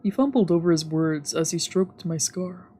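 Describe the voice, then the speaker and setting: A woman's voice: fairly low pitch, normal speed, breathy edge. A woman streamer reading visual novel narration aloud for a let's play. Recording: soft game music behind.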